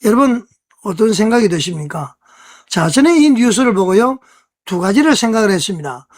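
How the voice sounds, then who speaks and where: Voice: medium pitch, lively intonation, moderate pace. A news commentator on an online church news channel, explaining conversationally and putting rhetorical questions to the audience.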